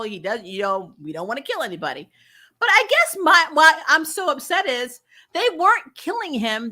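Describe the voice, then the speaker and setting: A woman's voice: a little high, sharp tone. A woman giving a casual, opinionated TV recap as a host, blunt, sweary and exasperated, stressing points by repeating herself. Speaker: host of a TV show recap channel. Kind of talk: casual opinionated review chat.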